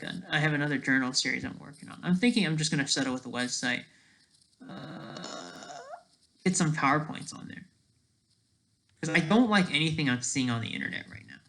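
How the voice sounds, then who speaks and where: A person thinking aloud in a casual, digressive commentary over study notes, halting often and talking in an informal, self-deprecating way. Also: mid-range voice, creaky, pushed and bright, a little hiss or hum. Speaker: solo online commentator.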